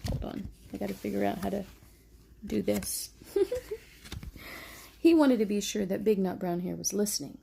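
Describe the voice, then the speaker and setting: A woman reading a children's bedtime story aloud as a mother, gently, with casual asides to her small child. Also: mid-range voice, normal speed, gravelly, long pauses, a little hiss or hum. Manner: gentle, motherly baby talk.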